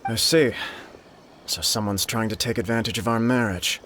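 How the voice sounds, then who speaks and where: A devoted young nobleman, played by a man in a game cutscene, low-pitched and sincere. Gentle and earnest throughout. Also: normal speed, slight background noise, lightly acted. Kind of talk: video game cutscene dialogue.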